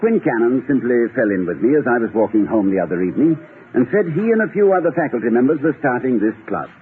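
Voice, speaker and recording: Scratchy old-radio actor as an eager, flustered college president. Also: male voice, mid-range voice, lively intonation, quick pace, lightly acted. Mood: chatty, pleased. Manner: hesitant, stammering, courteous, slightly pompous. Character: eager, slightly flustered, genteel middle-aged college president. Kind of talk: old-time radio situation comedy acting. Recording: scratchy, narrow-band old radio broadcast.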